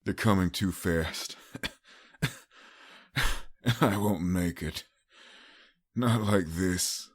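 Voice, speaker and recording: A man's voice: deep voice, drawn-out words, long pauses, breathy edge, exaggerated voice. A man voicing an ailing, self-sacrificing adult stallion, out of breath, in an audiobook drama. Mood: resigned, breathless. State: out of breath, coughing. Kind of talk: audiobook character acting.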